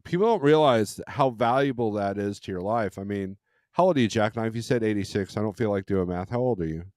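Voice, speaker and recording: A man's voice: fairly low pitch, brisk, a little mellow, no room sound, clean studio sound. A man in his fifties with an American accent, swapping stories in a casual open-forum chat as host. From California, United States. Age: 50s. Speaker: host, truck driver and dispatcher.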